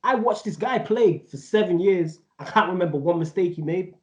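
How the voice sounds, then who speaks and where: A man's voice: sits high, lively intonation, moderate pace, darkish tone. A man in his thirties co-hosting a casual football debate, rambling and emphatic, full of slang. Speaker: podcast co-host. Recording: echoey, dull audio.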